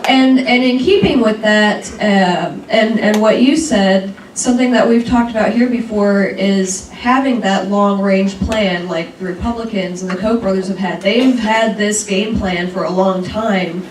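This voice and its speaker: A woman's voice: mid-range voice, measured pace, few pauses. A woman speaks up from the audience, candid and a bit self-deprecating. Her voice comes from across a reverberant room and sounds distant. Faint room noise hangs behind her. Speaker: school board candidate. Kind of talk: audience comment at a luncheon. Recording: echoey room from far off.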